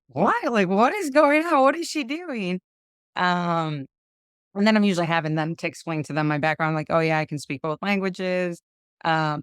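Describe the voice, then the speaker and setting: A woman's voice: fairly low pitch, a bit bright, smooth, no room sound, clean studio sound. A young woman from Chicago, a lawyer, talking casually as an interview guest, with lots of "um" and "like". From Chicago, United States. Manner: chatty, full of "um" and "like" fillers.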